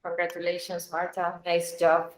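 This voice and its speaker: A woman's voice: lowish voice, monotone, moderate pace, slight background noise. A woman hosting student presentations on a video call, moderating informally. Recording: echoey room sound.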